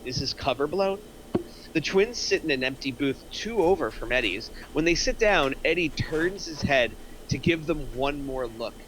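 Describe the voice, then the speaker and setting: A man's voice: a little high, animated, moderate pace, noisy background. A man reading scripted stage directions aloud in narration at a screenplay table reading.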